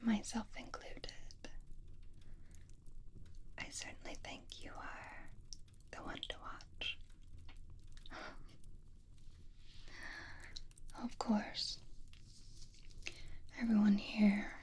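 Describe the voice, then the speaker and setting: A woman playing a flirtatious, possessive female vampire in a whispered ASMR fantasy roleplay, teasing and intimate, with lingering pauses. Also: medium pitch, little pitch movement, close to an everyday voice. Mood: conspiratorial, flirtatious.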